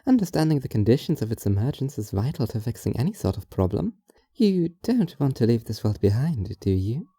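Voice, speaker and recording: A woman playing a strange, earnest young philosopher in an audio drama, talking in a formal, measured, literal way. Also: a bass voice, big pitch swings, warm tone, breathy edge, dry recording, heavily acted. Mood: calm conviction. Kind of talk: audio drama monologue and dialogue.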